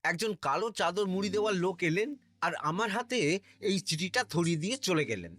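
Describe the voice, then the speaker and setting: A man's voice: high-pitched, very animated, fast talker, a bit bright, dry recording, studio quality. A man voicing a deferential, earnest palace guard in a cartoon. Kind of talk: animated cartoon voice acting.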